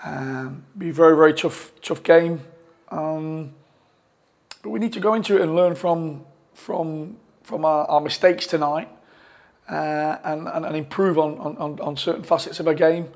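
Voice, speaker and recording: A football manager in his forties giving a post-match interview from a press room, talking in a measured way with frequent restarts and fillers on a lapel mic. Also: fairly high pitch. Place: press room in front of a sponsor board.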